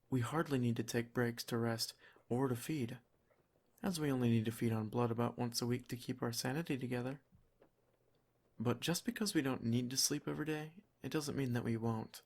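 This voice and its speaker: A man voicing a protective, possessive vampire lord in an ASMR roleplay, speaking in a measured, reassuring, gently commanding way. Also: mid-range voice, lightly acted. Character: possessive, protective male vampire lord, soft-spoken and teasing. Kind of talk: ASMR roleplay, scripted intimate monologue.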